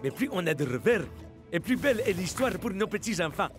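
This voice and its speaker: An actor playing an earnest, dramatic soccer team captain in a cartoon. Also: male voice, high voice, sing-song, fairly quick. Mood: rousing, sentimental. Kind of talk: animated cartoon voice acting. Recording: music playing behind the voice.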